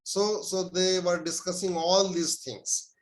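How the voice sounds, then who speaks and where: A man, an Urdu speaker, answering interview questions as a scholar and pausing often with fillers and restarts. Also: sits high, fairly even intonation, rough recording. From India. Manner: hesitant, many filler sounds and restarts.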